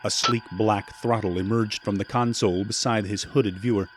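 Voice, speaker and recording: A man's voice: on the low side, fairly even intonation, moderate pace, very noisy. A man narrating a scripted science fiction story in a dramatic storytelling style. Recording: loud music bed behind narration.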